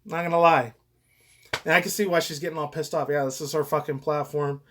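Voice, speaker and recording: A man's voice: fairly high pitch, fairly even intonation, a little fast, bright, forceful tone, a little hiss or hum. A young man giving casual, off-the-cuff reaction commentary in a rambling way full of crude slang and swearing.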